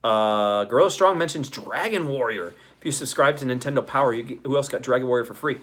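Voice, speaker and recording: A man's voice: medium pitch, fast, fairly bright tone. A man in his forties hosting a casual livestream, reading out audience comments in chummy, profane slang with enthusiasm. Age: 40s. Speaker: show host.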